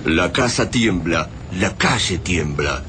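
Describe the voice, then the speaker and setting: An actor narrating an apocalyptic radio drama gravely and suspensefully. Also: male voice, deep voice, animated, slow. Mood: grave, tense. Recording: clearly noisy, sound-effect ambience.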